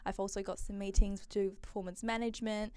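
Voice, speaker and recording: A woman's voice: mid-range voice, flat delivery, fast talker, darkish tone, smooth, no echo. A young woman from Malaysia, chatting as an interview guest, with frequent um and uh fillers. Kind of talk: casual interview conversation.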